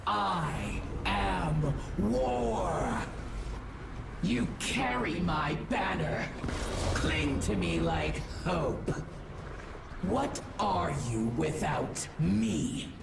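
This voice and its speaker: A woman's voice: lowish voice, lively intonation, creaky, heavy background noise, heavily acted. A woman playing a domineering, bloodthirsty god of war in a video game, grand, menacing and taunting. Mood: menacing, seductive. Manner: grand, menacing, slow declamation. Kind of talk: video game villain acting. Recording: echoing voice under loud music.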